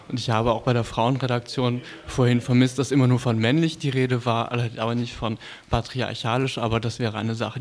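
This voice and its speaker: Hesitant man presenting on radio. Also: medium pitch. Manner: halting, with frequent hesitations and searching pauses. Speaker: community radio presenter of an editorial team. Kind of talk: unscripted on-air programme presentation. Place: radio studio.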